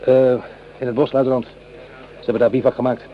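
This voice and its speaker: A man's voice: medium pitch, level tone, fast talker, natural voice. A man acting a strict, short-tempered army corporal in a radio drama, with clipped military speech. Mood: deferential. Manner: barking, clipped military commands. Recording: dull, noisy old radio play recording.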